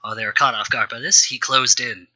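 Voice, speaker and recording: A man's voice: medium pitch, steady tone, fast talker, pushed and bright. A man reading his own amateur story aloud as a host, with wry, self-deprecating asides.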